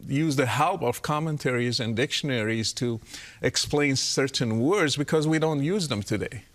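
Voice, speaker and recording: A man's voice: medium pitch, normal speed, fairly bright tone. A man, an Arabic speaker, explaining his views earnestly as a guest on an interview podcast.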